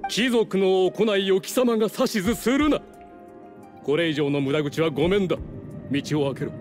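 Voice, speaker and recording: Man acting a pompous, higher-voiced scheming nobleman. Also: moderate pace, natural voice. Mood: indignant, commanding. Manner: pompous, formal, condescending. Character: haughty scheming nobleman of a fallen clan. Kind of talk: Japanese game voice acting. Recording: game music behind the voice.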